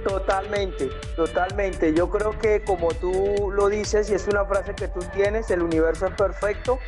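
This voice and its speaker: A man's voice: sits high, steady tone, unhurried. Male interview guest, around forty, Colombian accent, casual conversational answers, faint music behind him. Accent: Colombian Spanish. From Santander, Colombia.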